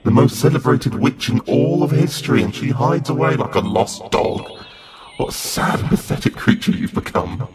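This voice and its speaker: A man's voice: on the low side, animated, normal speed, over a noisy crowd, lightly acted. A man playing a taunting, theatrical villain who rules a netherworld in an audio drama. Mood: mocking. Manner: mocking, theatrical. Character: taunting male villain lording over Limbo. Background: music.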